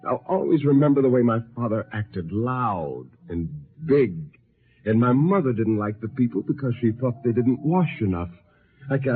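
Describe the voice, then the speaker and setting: A man's voice: mid-range voice, husky. An actor playing a bitter, resolute renegade spaceman in a 1950s radio drama, speaking in brooding, idealistic tones. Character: bitter, resolute renegade spaceman mourning dead Martian civilization. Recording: tinny, noisy 1950s radio recording.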